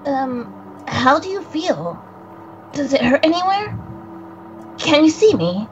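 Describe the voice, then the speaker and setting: A woman's voice: high voice, big pitch swings, takes it slowly, dull audio, slight background noise, full character voice. A woman voicing a flustered young boy spirit. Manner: stammering, flustered, self-incriminating. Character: nervous young boy spirit, guilty and awkward. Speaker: guest voice actor on a game stream.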